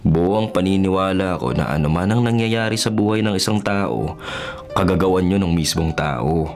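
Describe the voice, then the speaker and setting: A man's voice: sits low, moderate pace, faint music behind. A man playing a skeptical, self-reliant journalist in his twenties in a radio drama, with a Filipino accent and a conversational, questioning way of talking. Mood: bitter, scornful. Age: 20s. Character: skeptical 23-year-old male journalist, faithless and self-reliant.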